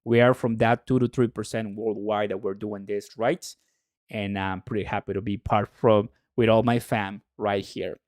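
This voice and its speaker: A man from Mexico, a native Spanish speaker with a Mexican accent, hosting a livestream in an excitable, hype-driven way and repeating phrases for emphasis. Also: lowish voice, moderate pace, no room sound, studio quality. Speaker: livestream host. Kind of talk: excitable livestream commentary to viewers.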